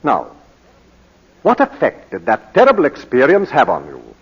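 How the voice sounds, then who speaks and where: An actor playing a formal, courteous British barrister examining his client, with measured oratory in 1940s radio drama style. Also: medium pitch, huge pitch swings, breathy edge, exaggerated voice. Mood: measured, probing. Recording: muffled vintage 1940s radio broadcast.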